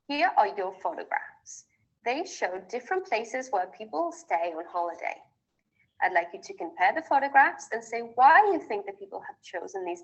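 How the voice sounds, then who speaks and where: A woman acting as examiner, reading formal exam prompts in a measured way. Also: a little high, poor audio. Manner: formal, measured, rising on each question.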